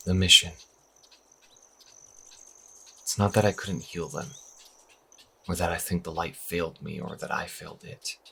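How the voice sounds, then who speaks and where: A man playing a troubled young priest losing faith in his church, speaking earnestly and haltingly in an audio drama. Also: low-pitched, creaky, sharp tone, a little hiss or hum, exaggerated voice. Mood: distressed, earnest.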